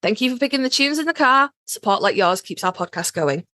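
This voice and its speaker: A woman's voice: on the high side, lively intonation, brisk, sharp tone, no room sound. A woman co-hosting a podcast in a casual, effusive and emphatic way. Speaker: podcast co-host. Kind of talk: casual chat and podcast sign-off.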